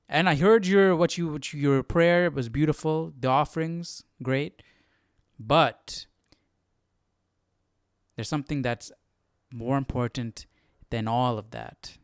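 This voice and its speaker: A man hosting a Bible study livestream, explaining casually in a conversational, halting way. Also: mid-range voice, no room sound, studio quality.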